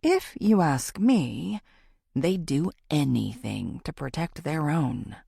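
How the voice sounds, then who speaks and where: A woman's voice: sits low, sing-song, takes it slowly, vocal fry, warm tone, breathy edge, no room sound, clean studio sound, exaggerated voice. A woman voicing a charming, easygoing young man with a playful, teasing manner in an audiobook. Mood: wry, guarded. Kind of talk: audiobook character dialogue.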